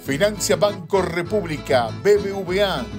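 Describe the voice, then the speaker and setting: A man's voice: high voice, unhurried. A man reading a scripted advertisement as an announcer. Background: guitar music.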